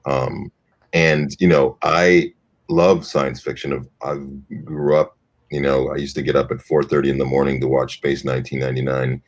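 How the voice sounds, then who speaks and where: A man on a discussion panel who speaks haltingly and restarts his sentences often. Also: rumbling low, little pitch movement.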